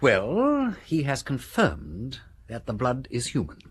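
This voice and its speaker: An actor playing a witty aristocratic English amateur detective in a British radio drama, brisk and theatrical as he reasons aloud. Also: medium pitch, wildly swooping pitch, slightly rough, exaggerated voice. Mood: keen, argumentative. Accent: upper-class English.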